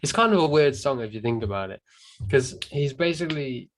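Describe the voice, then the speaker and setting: A man's voice: medium pitch, animated, fairly quick, slight background noise, poor audio. A man, a comedian guest, chatting casually on a webcam, rambling, swearing freely and trailing off with ums. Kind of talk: casual chat.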